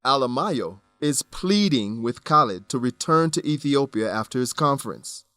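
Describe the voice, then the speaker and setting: A man presenting an English lesson in deliberate, scripted educational narration. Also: medium pitch, animated, bright, forceful tone, dry recording, studio quality. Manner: deliberate, instructional.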